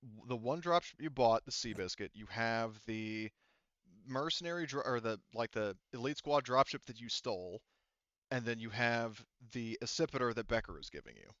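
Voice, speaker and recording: Guy gm-ing a tabletop game, rambly and stop-start, crisp voice but slightly dull audio. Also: mid-range voice, expressive, fairly bright tone, no room sound. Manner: halting, explains in rambling detail. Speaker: game master. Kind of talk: casual tabletop game narration.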